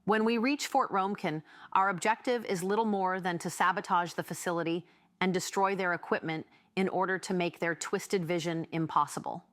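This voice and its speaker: A woman's voice: lowish voice, fairly even intonation, normal speed, a bit bright, dry recording, clean studio sound, natural voice. A woman voicing a nonchalant, unfazed female comrade with a casual purr, in an audiobook. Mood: serious explaining. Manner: purring, casual, unbothered. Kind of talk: audiobook character voice.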